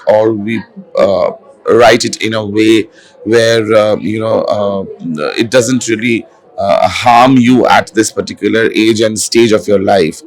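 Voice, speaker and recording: A hoarse man, an author and film director, speaking in a festival interview with many fillers and restarts. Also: fairly low pitch, low-quality recording. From India. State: hoarse, has lost his voice. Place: literature festival venue. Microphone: handheld mic.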